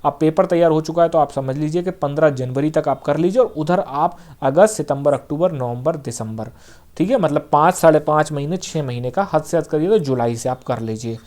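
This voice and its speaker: A young man with a fairly high voice coaches exam candidates in a relaxed, talky way. He repeats his main points and keeps checking in with his listeners. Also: brisk. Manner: direct, repetitive coaching, frequent "theek hai" check-ins. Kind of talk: casual exam-prep advice talk.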